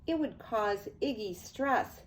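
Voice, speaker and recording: Slow, animated older woman reading a storybook. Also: mid-range voice, slight background noise, poor audio. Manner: expressive, deliberate storytelling. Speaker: grandmother reading to children. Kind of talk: children's storybook read-aloud.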